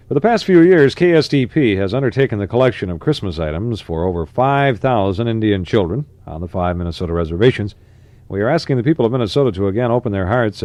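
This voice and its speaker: Low-voiced American radio DJ, dry studio sound. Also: male voice, darkish tone, no room sound. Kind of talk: 1960s AM radio DJ patter and announcements. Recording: 1960s AM radio aircheck.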